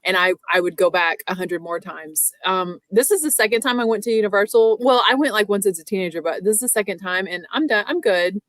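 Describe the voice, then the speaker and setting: A woman's voice: medium pitch, fairly quick, hardly any pauses, fairly bright tone, no echo. A woman co-hosting a casual chat over a video call, talking in a loose, rambling way full of "like". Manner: chatty, many fillers like "like". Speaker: podcast co-host.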